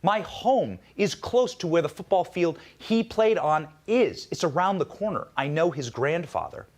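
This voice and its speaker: A man's voice: fairly high pitch, expressive, a little slow. An American man delivering a scripted political monologue as a commentator, in an emphatic, rhetorical way.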